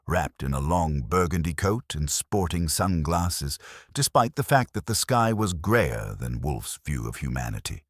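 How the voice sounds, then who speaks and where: A man tells a first-person hardboiled mystery with a wry, wisecracking edge. His voice is extremely deep yet moves lively through its pitch. The recording is close and dry. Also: no room sound. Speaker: narrator.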